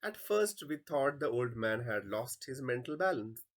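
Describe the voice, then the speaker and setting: A man's voice: medium pitch, very animated, measured pace. A man playing a respectful, bewildered palace guard in a play reading, speaking deferentially. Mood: confused, respectful.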